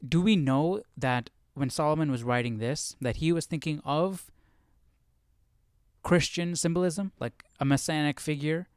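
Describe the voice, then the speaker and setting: A man's voice: fairly high pitch, unhurried, clean tone, no echo, clean studio sound. A man hosting a casual live study session, thinking aloud in a tentative, questioning way. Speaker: livestream Bible study host.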